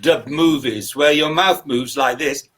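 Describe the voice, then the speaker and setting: A man's voice: medium pitch, measured pace, a bit bright. A man on an online panel, explaining ideas in a chatty way over a video call. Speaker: panelist and fantasy author. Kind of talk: casual panel talk.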